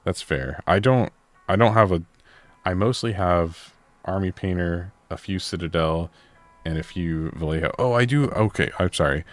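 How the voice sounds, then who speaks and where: A man chatting casually during a livestream while he paints miniatures, relaxed and rambling, often correcting himself. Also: low voice, normal speed, dry recording, faint music behind.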